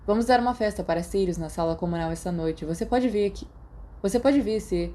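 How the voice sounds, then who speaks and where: A woman voicing an irritable, sarcastic but soft-hearted teenage schoolboy in an audiobook story, with a Brazilian accent. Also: mid-range voice, fairly quick, close to an everyday voice. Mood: sympathetic, hurried. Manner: sardonic, defensive. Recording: noticeable background noise.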